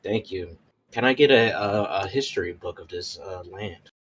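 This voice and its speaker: A man's voice: on the low side, normal speed, crowd noise. A man chatting casually as a player in a tabletop roleplaying game.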